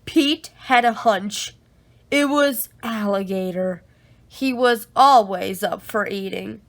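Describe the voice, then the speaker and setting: A woman reading a children's bedtime story aloud in an expressive, drawn-out way for young listeners. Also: medium pitch.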